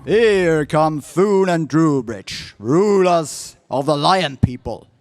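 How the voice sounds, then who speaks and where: A man's voice: a little high, expressive, takes it slowly, no room sound. An actor playing a boisterous, grandiose king of hawk people in a space serial radio play. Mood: jovial. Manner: grandiose, declamatory.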